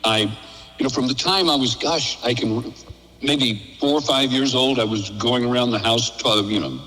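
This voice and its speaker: A man's voice: medium pitch, moderate pace, fairly bright tone, faint music behind. An older man chatting casually over the telephone, telling folksy, good-humored anecdotes as a guest. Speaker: interview guest, radio veteran. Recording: phone call, reverberant.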